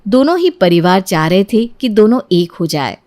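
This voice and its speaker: Female storyteller, medium pitch, scripted narration. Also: sing-song, normal speed, a little mellow.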